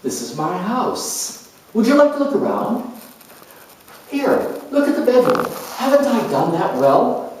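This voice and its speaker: Casting: male performer as fussy, anxious homemaker mother, high swooping voice, proud cosy hostess, dramatized monologue, very echoey room. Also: sing-song, a little slow, a little hiss or hum. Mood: gushing, hospitable. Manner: effusive, cosy, hesitant and confiding. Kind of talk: solo character dramatization for an astrology talk. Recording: very echoey room, distant microphone.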